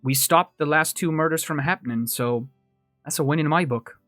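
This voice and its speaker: A man's voice: fairly high pitch, moderate pace, fairly bright tone, dry recording, faint music behind, close to an everyday voice. A man voicing a dry, wry, seasoned female law officer in a tabletop game. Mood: reassuring.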